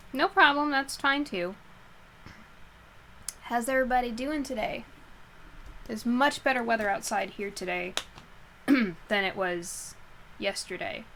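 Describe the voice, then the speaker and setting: A woman's voice: medium pitch, fairly bright tone, slight background noise, low-quality recording. A woman chatting casually with her live stream viewers from her bedroom before a book reading, a bit nasal, rambling and laughing easily. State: a little nasal. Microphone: headset while wearing headphones.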